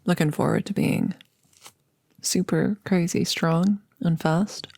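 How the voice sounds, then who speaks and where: A woman's voice: fairly low pitch, fairly even intonation, slow pace, vocal fry, a little mellow, dry recording, heavily acted. A woman playing a chatty, upbeat girlfriend in an intimate ASMR roleplay, rambling casually and musing with hesitations. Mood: musing, hopeful. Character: chatty, upbeat girlfriend about to become a vampire.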